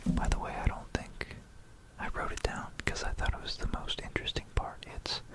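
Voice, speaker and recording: A whispered voice delivers an ASMR history talk at a very unhurried pace. The delivery is informal and musing, with self-corrections along the way. It is captured close on a binaural microphone. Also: rough recording. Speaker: ASMR video creator. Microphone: close stereo binaural microphone.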